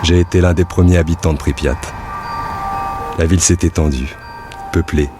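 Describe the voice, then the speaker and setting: Actor as a low-voiced, reflective fairground worker. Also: male voice, steady tone, normal speed, background noise, natural voice. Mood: reflective, anticipating. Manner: reflective, like writing in a journal. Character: amusement park worker in Soviet Pripyat, devoted, reflective dreamer. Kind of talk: scripted audio-drama monologue.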